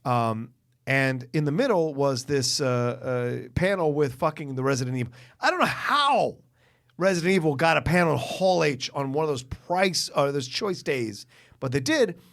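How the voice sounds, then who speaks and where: A man's voice: on the high side, expressive, normal speed, creaky, fairly bright tone. A man co-hosting a podcast, talking casually and profanely about movies in an exasperated, emphatic way. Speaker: podcast co-host.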